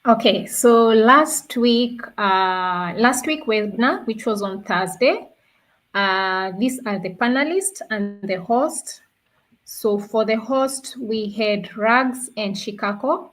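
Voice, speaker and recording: A woman's voice: a little high, slow pace, fairly bright tone. A woman from Kenya co-hosting a live online talk and presenting to viewers. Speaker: co-host of an online business talk show.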